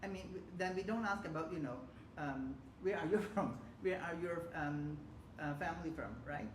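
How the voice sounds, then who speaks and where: Male panelist, hesitant and rambling, recorded in a noisy echoey hall. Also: on the high side. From Hong Kong. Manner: halting, many fillers and restarts. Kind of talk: forum panel discussion. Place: community forum hall. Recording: echoey room with loud background noise.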